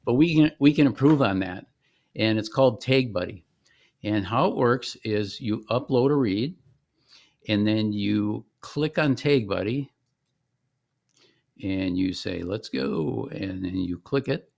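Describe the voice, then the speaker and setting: A man giving an informal screen-share demonstration as the presenter of a software product. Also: fairly low pitch, unhurried, creaky.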